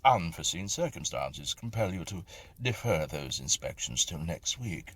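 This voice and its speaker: A man voicing a hearty, commanding Victorian country gentleman, a breezy and effusive dandy in a ghost story. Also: low voice, sing-song, moderate pace, slightly rough, full character voice.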